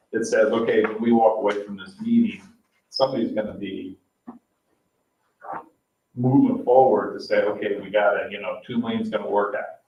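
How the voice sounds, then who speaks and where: An American man, a council member speaking informally at a public meeting, halting as he searches for words. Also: fairly low pitch, a little mellow. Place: indoor public meeting room. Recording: roomy, distant, muffled meeting-room mic.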